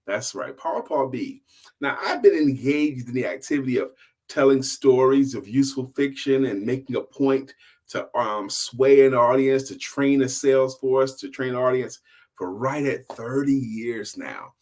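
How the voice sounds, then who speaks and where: Middle aged guy doing casual storytelling, kinda animated and emphatic, talks like he's got an audience. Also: medium pitch, unhurried. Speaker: storyteller and sales coach.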